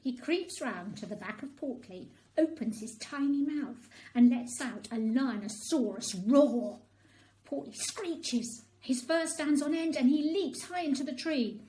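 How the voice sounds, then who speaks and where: A woman narrating a children's bedtime story in an expressive storytelling style. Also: high-pitched, measured pace, rough recording.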